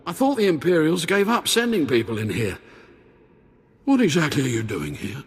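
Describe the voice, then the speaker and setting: In long hall reverb, a grandiose yet anxious pretend Sith lord for a video game. The voice is very rough and animated, theatrical and prone to falter. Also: male voice, on the high side, moderate pace, full character voice. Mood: anxious, scheming. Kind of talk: video game cutscene acting.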